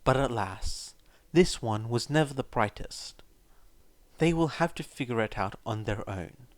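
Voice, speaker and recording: A man's voice: medium pitch, animated, a little slow, dry recording. A man reading a fan fiction story aloud as its narrator, in scripted narration.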